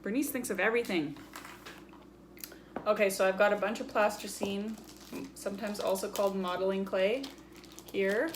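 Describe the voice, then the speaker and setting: A woman's voice: mid-range voice, steady tone, normal speed, long pauses, slight background noise. A woman presenting a children's story time, chatting casually and playfully as she shows things and explains them simply. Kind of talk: casual show-and-tell for children.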